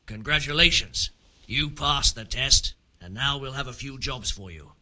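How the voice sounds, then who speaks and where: An actor playing a scheming, vengeful criminal who hands out shady jobs in a medieval video game, sly and scornful. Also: male voice, mid-range voice, normal speed, heavy background noise, lightly acted.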